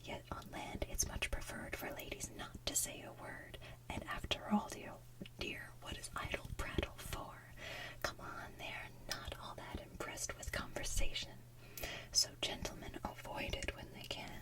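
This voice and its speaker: A woman voicing a scheming, coaxing sea witch villainess from an animated musical, in theatrical, drawn-out soft singing and whispered lines. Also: close to an everyday voice. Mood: coaxing, sly.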